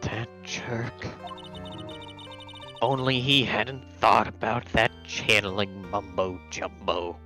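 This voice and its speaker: Man reading as a resentful villainess, bright forceful tone, bitter, game fan dub, faint music. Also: fairly low pitch, steady tone, takes it slowly.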